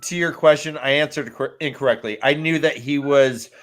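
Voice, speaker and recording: A man's voice: fairly high pitch, normal speed, fairly bright tone. A man talking casually on a sports panel show, informal and self-deprecating. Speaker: fantasy football show panelist.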